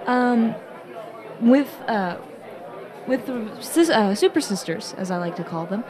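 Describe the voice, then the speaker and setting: A woman's voice: on the high side, lively intonation, unhurried, crowd noise. A woman chatting casually as a podcast co-host. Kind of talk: casual chat.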